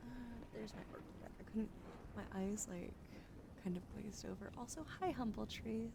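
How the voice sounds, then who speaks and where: Soft whispery asmr streamer lady, bit of vocal fry, close desk mic, noisy room behind. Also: medium pitch, steady tone, moderate pace. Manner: soft, gentle, partly whispered delivery; chatty and affectionate with viewers. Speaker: livestreamer. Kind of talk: soft-spoken ASMR card reading. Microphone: close desk microphone.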